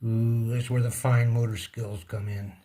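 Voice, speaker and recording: A man presents a casual repair tutorial at a very unhurried pace, with a middling pitch. His speech comes in short, halting fragments with pauses between them, as if talking partly to himself while his hands are busy. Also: level tone, mellow, slight background noise. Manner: talks to himself and the object. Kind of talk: casual hands-on demonstration, muttering while working.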